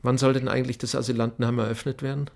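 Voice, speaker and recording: An actor playing an irritable, suspicious writer who speaks curtly and with dry sarcasm in a film drama. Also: male voice, mid-range voice, level tone, rapid-fire, creaky, close to an everyday voice. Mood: suspicious, bitter.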